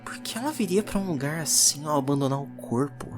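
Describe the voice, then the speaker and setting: A performer playing a curious, uneasy 19th-century occult explorer who narrates a journal in a reflective, theatrical way, with deliberate pauses, for an audio drama. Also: mid-range voice, animated, over music, natural voice. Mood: wondering, uneasy.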